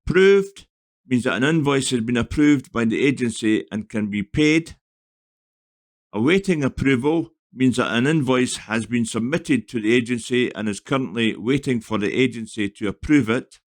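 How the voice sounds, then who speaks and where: A man narrating a scripted software tutorial in an explanatory, step-by-step manner. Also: mid-range voice.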